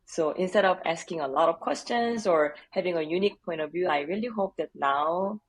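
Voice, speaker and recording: A woman from Korea with a Korean accent, a guest answering interview questions in an earnest, measured way with light laughs. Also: fairly low pitch, husky, poor audio. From South Korea. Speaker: HR leader, podcast guest.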